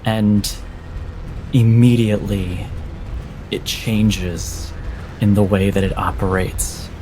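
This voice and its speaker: A man narrating a tabletop horror game as game master, deliberate and suspenseful, often breaking his phrases for drama. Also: on the low side, level tone, noisy background. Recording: music behind the voice.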